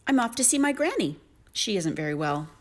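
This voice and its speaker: A woman's voice: fairly high pitch, very animated, fairly quick. A woman voicing a cheerful, obliging little fairy tale girl in a chirpy manner.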